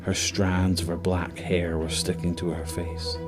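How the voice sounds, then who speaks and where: A man's voice: low voice, flat delivery, a little slow. A man narrating a scripted horror audio drama in a dramatic storytelling style. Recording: music under the voice.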